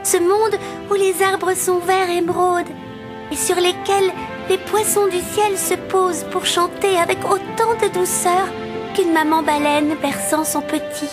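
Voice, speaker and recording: A woman playing a dreamy, impatient teenage mermaid princess in an animated fairy tale, girlish and earnest. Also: very high-pitched, unhurried. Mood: wistful longing. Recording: loud music behind.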